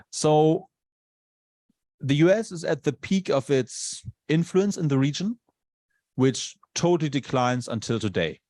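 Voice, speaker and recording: A male lecturer whose first language is not English speaks in a relaxed, conversational way to a class. He often tags his points with a quick question. His voice sits at a middle pitch, and the recording is dry and close. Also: no echo. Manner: conversational, frequent tag questions. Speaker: university lecturer. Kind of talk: university lecture. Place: lecture room.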